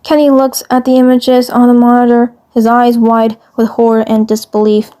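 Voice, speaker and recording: A woman narrating a fan fiction story, reading haltingly and often repeating phrases. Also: fairly high pitch, little pitch movement, mellow.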